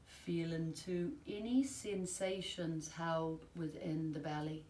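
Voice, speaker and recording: A woman teaching yoga nidra, giving calm, soothing, measured guided-relaxation instructions with long pauses. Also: sits low. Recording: roomy, distant mic, faint background noise.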